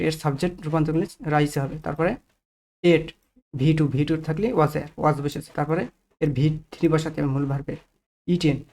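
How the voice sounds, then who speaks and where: A man's voice: on the high side, darkish tone. A man teaching grammar step by step to viewers, explaining each rule and often checking understanding with short tag questions.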